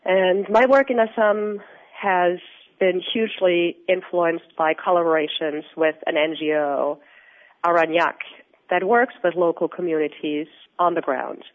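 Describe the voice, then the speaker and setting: A woman from Austria with an Austrian accent, a researcher answering interview questions in an earnest, explanatory, measured way. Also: lowish voice, fairly even intonation. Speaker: researcher and guest. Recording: tinny phone-line audio.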